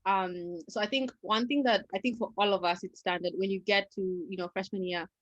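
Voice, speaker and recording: A woman's voice: medium pitch, steady tone, brisk, no room sound, dull audio. A woman giving career advice in casual panel chat, hesitantly circling toward her point.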